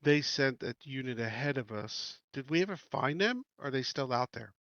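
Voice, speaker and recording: A man with a medium-pitched voice plays a tabletop game. He asks casual, clarifying questions. The sound is close and dry, a touch muffled. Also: lively intonation, moderate pace, bright, forceful tone, no echo. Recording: slightly dull, dry mic.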